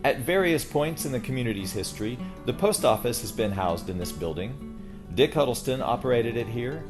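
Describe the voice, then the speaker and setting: A man reading scripted narration as the host of a local history tour series. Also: medium pitch.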